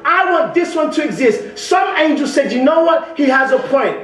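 A man's voice: extremely high pitch, moderate pace. A young man from England with a West Midlands accent, preaching a sermon and calling out questions to the congregation. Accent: West Midlands English. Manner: animated, calls out questions to the congregation; gestures broadly at the pulpit. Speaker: guest preacher. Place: large church hall, indoors. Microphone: stand mic at pulpit, far camera. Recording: roomy church echo, mic at a distance.

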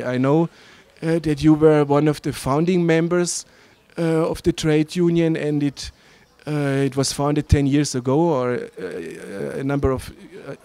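A man in his forties interviewing a guest outdoors, asking questions hesitantly with many pauses, into a handheld mic. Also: on the high side, warm tone. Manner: hesitant, many fillers and restarts. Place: outdoor garden event.

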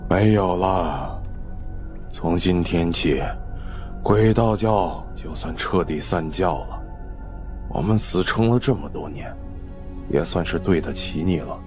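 A man's voice: sits low, slow pace, long pauses, a little mellow, faint music behind. A man voicing a defeated young cult leader in an audio story, weary and resigned as he explains himself.

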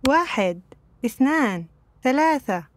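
A woman's voice: high voice, expressive, quick pace, slight background noise. A woman speaking short, isolated counting words as a vocal for a sample library. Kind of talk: isolated spoken number samples.

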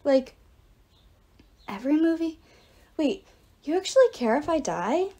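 A woman's voice: high voice, sing-song, measured pace, dark tone, pitched above the performer's own voice, exaggerated voice. A woman voicing a wounded, dazed young male fallen god in an audiobook, whiny, muttering and rambling wearily. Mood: puzzled.

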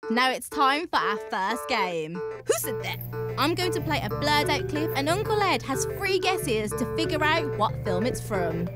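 A woman's voice: high voice, big pitch swings, measured pace, over loud music. Teasing young woman host. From Birmingham, England. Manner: teasing, playful. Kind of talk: scripted presenting and casual chat. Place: indoor living room set.

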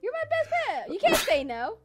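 Woman playing a very high-pitched bossy girl. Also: wildly swooping pitch, normal speed, full character voice. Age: young adult. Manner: bossy, demanding. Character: bossy, power-hungry girl turning evil. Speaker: gaming video host and player. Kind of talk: improvised Minecraft roleplay. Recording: music behind the voice.

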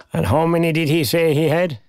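An older Irish man in an audio drama. His voice is gravelly and creaky, recorded close and dry. He talks with proud, kindly, wry humour, like a retiree set in his ways. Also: a little high, darkish tone, no room sound. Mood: dry, amused. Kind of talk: naturalistic audio drama dialogue.

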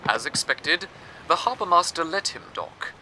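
A man hosting a radio show, telling a story in scripted, wordy, measured narration. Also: on the high side, animated, bright, forceful tone, a little hiss or hum, rough recording. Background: music.

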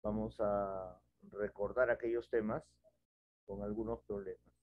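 A man's voice: on the low side, little pitch movement, unhurried, no room sound. Patient male teacher on a muffled video call. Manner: patient, explains step by step with questions. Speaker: math teacher. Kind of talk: online class at a whiteboard. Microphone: headset. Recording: dull lo-fi video call audio.

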